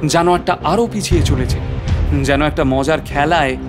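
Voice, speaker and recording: Guy acting as earnest sea adventurer telling his story, high voice, suspenseful, loud music under it. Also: normal speed, lightly acted. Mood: suspenseful, dramatic. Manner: theatrical, suspenseful, repeats words breathlessly in peril. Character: earnest shipboard adventurer narrating his perilous sea voyage. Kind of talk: dramatised first-person story narration.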